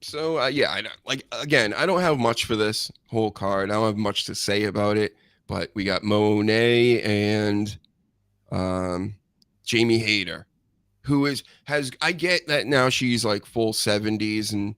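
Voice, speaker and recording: A man's voice: fairly low pitch, measured pace, fairly bright tone, no room sound, clean studio sound. Joking American man chatting wrestling. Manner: joking, rambling, laughs often. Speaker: podcast host. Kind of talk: casual wrestling chat.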